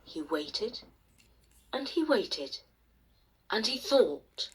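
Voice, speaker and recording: A woman storyteller narrating a bedtime tale expressively, with dramatic pauses and emphasis. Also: medium pitch, sing-song, breathy edge, rough recording. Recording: faint noise behind the voice.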